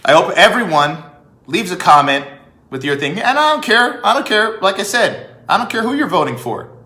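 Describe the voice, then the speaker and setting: A man giving an emphatic opinion monologue as a vlog host, with rhetorical questions and deliberate pauses. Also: high voice, very animated, pushed and bright. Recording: echoey room.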